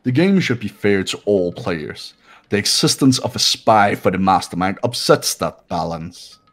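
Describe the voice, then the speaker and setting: A man acts out a cold, haughty young video game character. His voice sits low and drips with condescension and scorn. Background music plays along behind him. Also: animated, measured pace. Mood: condescending. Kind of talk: amateur video game voice-over.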